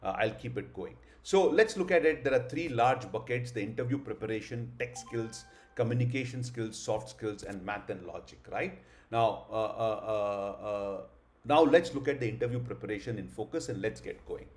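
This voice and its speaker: A man's voice: lowish voice, moderate pace. A middle-aged man giving a live online class, earnest, with many hesitant fillers and rhetorical questions. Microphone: video call.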